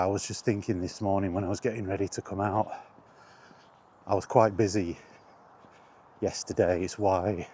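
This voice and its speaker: A man's voice: low voice, dark tone, slight background noise. A man chatting casually as the host of a walking vlog, thinking aloud in short, broken phrases outdoors. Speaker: host of a walk-and-talk retro gaming vlog. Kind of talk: casual rambling chat. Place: outdoors on a walk.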